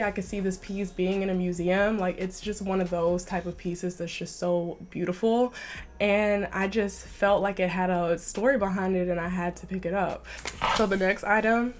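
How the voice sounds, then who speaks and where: A young woman with a stuffy nose from a slight cold, chatting enthusiastically to camera in a casual fashion vlog. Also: mid-range voice. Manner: chatty and enthusiastic, says "like" often. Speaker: fashion vlogger.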